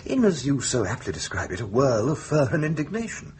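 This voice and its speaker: An actor playing a genial, easily flustered publisher in a period ghost-story drama, urbane but prone to stammering. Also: male voice, mid-range voice, very animated, brisk, darkish tone, faint music behind, lightly acted. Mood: genial, amused. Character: genial, flustered publisher, puzzled and eager to reason.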